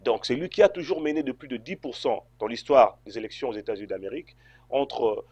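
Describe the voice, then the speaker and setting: A man's voice: medium pitch, a little fast, a little mellow, breathy edge, no room sound. A man from Congo answering interview questions, hesitant, with many fillers and restarts. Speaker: interview guest.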